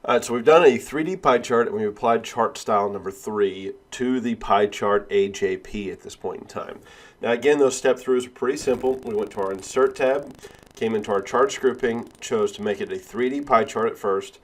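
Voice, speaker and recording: A male instructor with a cold and sniffles, talking step by step through a software tutorial with frequent "uh" and "all right.". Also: mid-range voice, moderate pace. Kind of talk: screen-recorded software tutorial.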